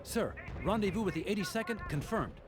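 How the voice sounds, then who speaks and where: A man playing a dutiful military aide in a war game, giving brisk, formal battlefield reports. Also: high-pitched, animated, loud music behind the voice. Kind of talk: video game voice acting, radio-room reports.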